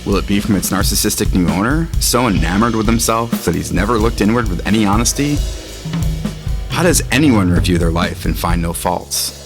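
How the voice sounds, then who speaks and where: A man with a low voice reading scripted literary fiction as a narrator. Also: expressive, fairly quick. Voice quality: low-pitched. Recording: music under the voice. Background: guitar music.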